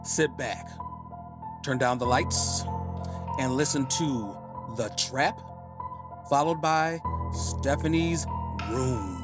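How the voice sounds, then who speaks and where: A man with a mid-pitched voice introduces a podcast as its host. He takes his time, speaking very slowly in short phrases with pauses between them, while music plays clearly behind him. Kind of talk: podcast introduction, semi-scripted. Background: music bed.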